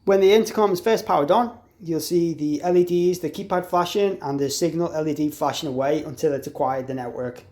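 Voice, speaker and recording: Casual man with a slightly high voice. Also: brisk, few pauses, a little mellow. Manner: informal, explanatory. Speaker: installer demonstrating an intercom product. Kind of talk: casual hands-on product tutorial.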